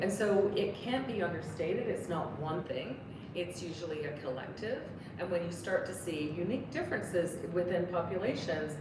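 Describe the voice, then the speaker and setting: Earnest woman clinician in an echoey room. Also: fairly low pitch. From Canada. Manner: earnest, explanatory, many rhetorical questions. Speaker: clinician on a policy panel. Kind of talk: panel discussion answer. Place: briefing room. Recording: echoey room, distant mic, background noise.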